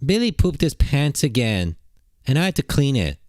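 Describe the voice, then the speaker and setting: A man putting on a high falsetto voice as a fussy young housewife and mother, prattling and complaining in a mock-sweet way. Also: sing-song, moderate pace, a little mellow, no echo, clean studio sound, natural voice.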